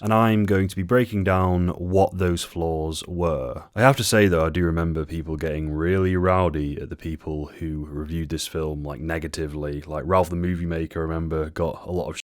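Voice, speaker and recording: Monotone man with a very low voice. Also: normal speed, few pauses, no room sound. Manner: dry, self-deprecating, casual swearing. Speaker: film reviewer. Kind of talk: scripted video essay review.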